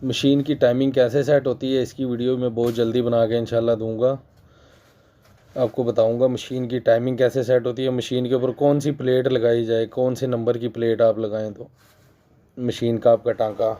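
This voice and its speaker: A man from Pakistan with a Pakistani Urdu accent, hoarse from a cold, patiently explaining sewing machine work in a casual tutorial. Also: medium pitch, level tone, moderate pace, dark tone. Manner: patient, repeats points for emphasis; clears his throat often. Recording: dull lo-fi recording.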